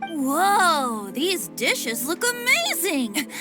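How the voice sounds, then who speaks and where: A playful young bard with a high, lively, sing-song voice teases and flatters everyone around him. He takes his time over each line, with music playing under the game scene. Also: extremely slow, exaggerated voice. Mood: cheerful. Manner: lilting, giggly and flattering. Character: playful young male bard, cheerful and teasing. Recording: game cutscene with music behind.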